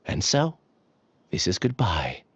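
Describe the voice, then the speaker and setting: Earnest, resigned young researcher, lively intonation. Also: male voice, low voice, a little hiss or hum, exaggerated voice. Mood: calm confession. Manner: earnest, measured, confessional. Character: gentle, resigned young male researcher saying farewell. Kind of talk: video game voice acting.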